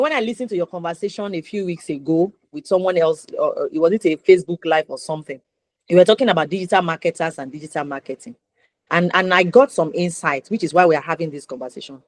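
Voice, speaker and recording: A woman's voice: lowish voice, dry recording. A woman hosting a conversational interview, asking questions and recapping the answers. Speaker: interviewer, host.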